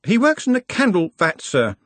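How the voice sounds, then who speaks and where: A man's voice: high voice, huge pitch swings, normal speed, no echo, pitched above the performer's own voice. A man voicing a nervous, dutiful young male academic clerk who stammers and defers.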